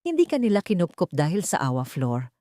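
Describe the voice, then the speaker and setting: A woman's voice: fairly low pitch, huge pitch swings, fairly quick, mellow, no room sound, clean studio sound. A woman voicing a loyal, tearful elderly housekeeper who shares painful secrets in an audio drama. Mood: grave, bitter.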